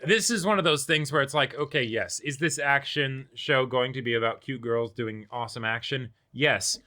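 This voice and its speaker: Male co-host for casual show discussion. Mid-pitched, bright, forceful delivery with emphatic phrasing. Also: moderate pace. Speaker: co-host of an anime reaction show.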